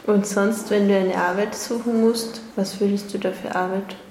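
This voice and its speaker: A woman's voice: mid-range voice, steady tone, moderate pace, darkish tone. A woman, interviewing with short, simple questions in a patient way. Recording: echoey room with faint noise.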